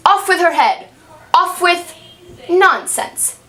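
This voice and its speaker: A woman's voice: very high voice, lively intonation, slow pace, sharp tone, voice pushed up, full character voice. A woman voicing an imperious, hot-tempered queen in an amateur puppet show, with a commanding, theatrical delivery. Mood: furious. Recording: roomy, distant microphone.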